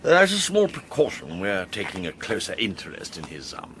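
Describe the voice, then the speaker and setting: An actor in a radio drama playing a suave, sly upper-class English intelligence agent, speaking in an arch, languid, plummy way. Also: male voice, low voice, huge pitch swings, moderate pace, noisy background, full character voice. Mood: arch, smug.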